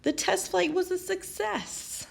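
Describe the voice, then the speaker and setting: A woman reading a children's picture book aloud as an expressive storyteller. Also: extremely high pitch, slow, creaky. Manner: expressive, animated storytelling with acted character lines. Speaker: storybook reader.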